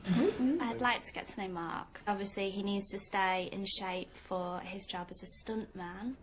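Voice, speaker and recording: A woman's voice: medium pitch, fairly even intonation, unhurried, noisy background. Woman, 20s, from England, contestant, chatty. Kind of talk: interview confessional. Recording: music underneath.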